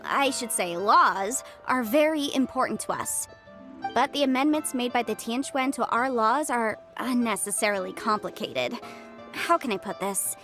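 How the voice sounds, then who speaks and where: An actress playing a confident young legal advisor in a game, brisk, self-assured and a little playful. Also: female voice, high-pitched. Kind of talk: game voice acting. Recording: studio voice over game music.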